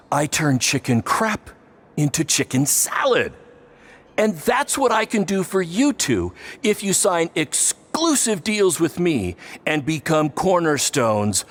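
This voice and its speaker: A man's voice: fairly high pitch, heavily acted. An actor playing a brash, boastful middle-aged wrestling promoter in a video game, with a hammy salesman manner. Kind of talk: video game cutscene acting.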